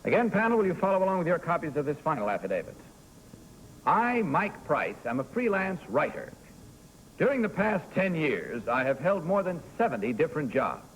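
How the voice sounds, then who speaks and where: A man hosting a 1950s TV game show with brisk, genial announcer delivery. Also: on the high side, sing-song. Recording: noisy 1950s TV broadcast.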